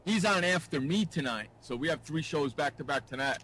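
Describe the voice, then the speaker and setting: A man's voice: on the high side, lively intonation, brisk, sharp tone, husky. A man hosting a radio show with casual interview banter. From New York, United States. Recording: background noise and room ambience.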